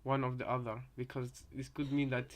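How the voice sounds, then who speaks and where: A man's voice: medium pitch, flat delivery, a little fast, a little hiss or hum. A man giving casual reaction commentary, hesitant and repeating himself as he thinks aloud. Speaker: reactor, fan theorist.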